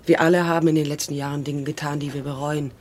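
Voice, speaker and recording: A very low, grave woman's voice from an actress in a radio play, a middle-aged mother who survived the war and wants her child back. She speaks in an insistent, measured way, with clear ambient noise behind her. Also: little pitch movement, a little mellow, lightly acted. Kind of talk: dramatic radio play dialogue.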